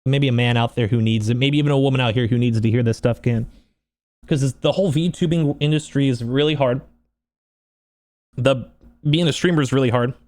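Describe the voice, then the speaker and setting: A man speaking off the cuff in a personal vlog, upset and close to crying, halting and repeating himself earnestly. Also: mid-range voice, a little mellow. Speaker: streamer and video creator. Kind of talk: unscripted personal vlog monologue.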